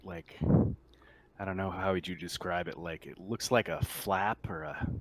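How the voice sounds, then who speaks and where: Male game master, lower register, improvised and conversational scene description for tabletop play. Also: moderate pace, a bit bright. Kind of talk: narrating a tabletop roleplaying game session.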